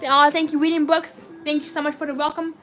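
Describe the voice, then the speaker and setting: High, robotic-sounding woman streamer. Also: quick pace. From United States. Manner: chatty, excitable cheering at spins. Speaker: slot machine live streamer. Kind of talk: live stream commentary reading chat.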